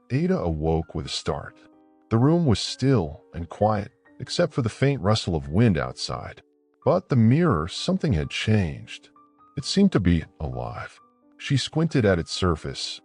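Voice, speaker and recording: A man's voice: on the low side, a little mellow, slightly rough. A man narrating a scripted folktale as a dramatic storyteller.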